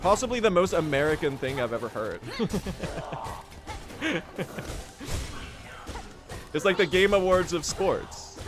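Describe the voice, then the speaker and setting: A young Swedish man live-streaming a speedrun, chatting with his viewers in a jokey, self-mocking way and bursting into yells at the game. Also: high-pitched, lively intonation, slow pace, pauses often. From Sweden. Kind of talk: live gaming stream chat. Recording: game music loud under the voice.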